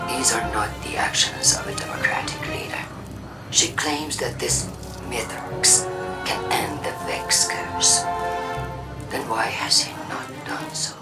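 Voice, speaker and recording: A woman playing a stern, suspicious faction leader in a video game, making an accusatory, deliberate speech in a breathy voice. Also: extremely low pitch, exaggerated voice. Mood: suspicious, ominous. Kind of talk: cinematic video game propaganda broadcast. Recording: distant voice under loud music. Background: loud game soundtrack.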